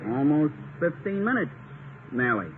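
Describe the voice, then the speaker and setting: A halting, high-voiced radio cabbie. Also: male voice, big pitch swings. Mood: apologetic. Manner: halting, tacks qualifiers onto sentences. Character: plain-spoken, hesitant cab driver, muddled qualifiers. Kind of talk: 1950s radio comedy acting. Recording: tinny, noisy 1950 radio recording.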